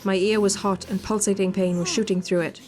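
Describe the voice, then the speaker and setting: A woman's voice: mid-range voice, little pitch movement, a little fast, darkish tone. A woman hosting a visual novel playthrough, narrating the text and adding casual commentary. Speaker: gaming video host. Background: game music.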